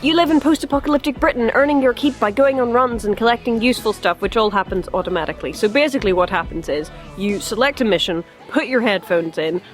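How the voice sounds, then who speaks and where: A woman's voice: on the high side, fast talker, few pauses, soft background music. A woman hosting a scripted but chatty review, speaking in a casual, wry, dryly joking way. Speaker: host of a review show.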